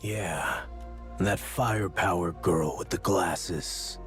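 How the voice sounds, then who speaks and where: A stern, gruff male leader with a deep, low voice. He speaks slowly and curtly, keeping his lines short. Faint game music sits underneath. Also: fairly bright tone. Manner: curt, gruff. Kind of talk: game voice acting.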